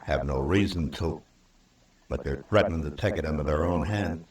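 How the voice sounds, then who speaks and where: A man playing a stern, weary Old West lawman in a radio western, speaking in measured, terse lines with dry sarcasm. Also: a bass voice, darkish tone. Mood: grave, regretful. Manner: measured, terse, dryly sardonic. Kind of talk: old-time radio western acting and narration. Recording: distant, roomy old radio recording.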